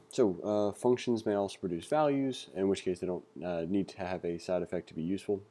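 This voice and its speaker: A man reading aloud through a coding book and thinking out loud as he teaches, with a relaxed manner and frequent fillers. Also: deep voice, brisk. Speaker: coding tutor. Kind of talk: casual read-along lesson.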